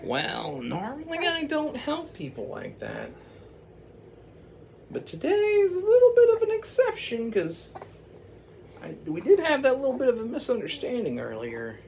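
Man doing a shrill squealing witch. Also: wildly swooping pitch, a little slow, creaky, darkish tone, a little hiss or hum, full character voice. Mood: chatty. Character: shrill fussy female witch in woods.

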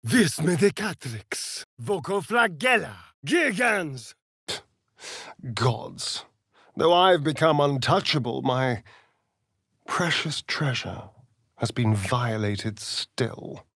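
Flamboyant vampire rogue from a video game, arch and mocking, slow drawl, super swoopy pitch, really dry studio sound. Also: male voice, expressive, creaky, fairly bright tone, husky, no room sound, exaggerated voice. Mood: incantatory, then anguished, angry. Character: flamboyant, sardonic, seductive male vampire rogue. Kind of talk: theatrical video game character acting.

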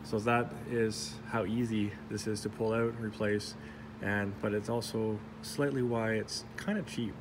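A man casually reviewing his welding helmet, talking in a halting, rambling way with self-corrections and small jokes, recorded on a handheld phone in a workshop. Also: fairly low pitch, steady tone, background noise. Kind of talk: casual off-the-cuff product review. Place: workshop, indoors. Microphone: phone camera held in hand.

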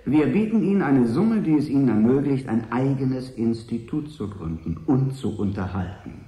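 An actor playing a smooth, polite but ruthless consortium agent in a radio drama, articulate, wry and persuasive. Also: male voice, mid-range voice, expressive, moderate pace, warm tone, echoey room, lightly acted, rough recording. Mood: insistent, persuasive. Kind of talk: 1980s German radio drama acting.

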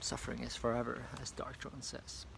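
A man's voice: medium pitch, little pitch movement, fairly quick, vocal fry, noisy background. Rambling Norwegian man outdoors. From Norway. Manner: loose and rambling, many fillers. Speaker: comic artist and vlogger. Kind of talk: casual video review. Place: park bench outdoors, beside a hedge. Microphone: phone camera at arm's length.

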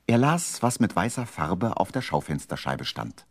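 A man's voice: deep voice, very animated, moderate pace, breathy edge. A man narrating a 1970s children's radio play in a theatrical, emphatic storytelling manner.

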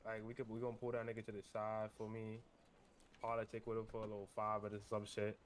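A man's voice: fairly low pitch, monotone, fast. A man talks casually in street slang while role-playing in a game. He repeats phrases as he goes, and there is faint noise on his mic. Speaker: gamer role-playing a gang member online.

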